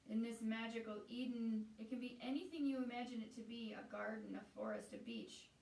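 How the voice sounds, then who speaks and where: A woman guiding a meditation as a teacher, speaking in a soothing, unhurried way with pauses between phrases. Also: on the high side, lively intonation, a little mellow. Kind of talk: guided meditation. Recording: roomy echo, distant from the mic.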